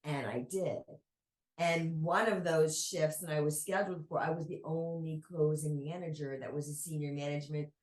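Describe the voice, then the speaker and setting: A woman guest on a podcast, telling a personal story at an unhurried, reflective pace. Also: low voice, fairly even intonation, a little mellow. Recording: roomy echo.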